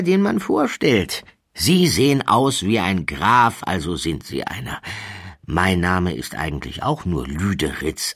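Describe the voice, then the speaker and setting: A man's voice: on the low side, fairly bright tone, slightly rough, voice pushed up, exaggerated voice. A man voicing an eccentric, down-at-heel self-styled baron in an audiobook, theatrical, persuasive and grandly self-assured.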